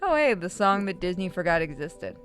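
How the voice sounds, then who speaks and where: A woman's voice: on the low side, sing-song, a little fast, a bit bright, smooth. A woman reading a visual novel aloud as narrator, with casual asides. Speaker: game streamer narrating. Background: music.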